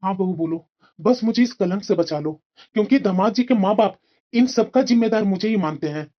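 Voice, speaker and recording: A woman voicing a weary, gentle but crafty elderly father-in-law in a moral-story cartoon, plaintive and coaxing, with dull sound. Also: medium pitch, a little fast, natural voice. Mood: relieved scheming.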